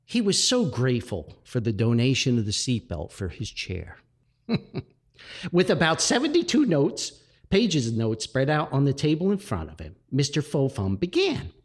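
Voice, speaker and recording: A man reading a comic story aloud as a podcast host, with theatrical pauses and emphasis. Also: mid-range voice, wildly swooping pitch.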